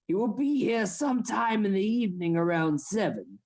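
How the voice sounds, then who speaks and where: An actor playing a stern, secretive anatomy lecturer in a radio play, formal and instructing. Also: male voice, high-pitched, animated, moderate pace, a little mellow. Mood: grave, secretive.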